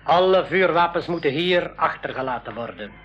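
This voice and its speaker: An actor playing a solemn, dignified medicine man of a jungle tribe in a school radio drama, speaking in formal, measured phrases. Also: a little high, expressive, lightly acted. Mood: stately. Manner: formal, measured, third-person speech. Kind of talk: 1980s Dutch-language school radio drama acting. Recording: dull radio recording with music.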